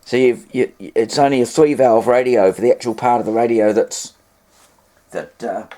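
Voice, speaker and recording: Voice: medium pitch, steady tone, normal speed, mellow. A hobbyist with a New Zealand accent talks casually while demonstrating equipment, rambling and thinking aloud. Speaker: vintage radio hobbyist and presenter. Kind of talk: casual hands-on demonstration commentary. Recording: clearly noisy amateur home recording.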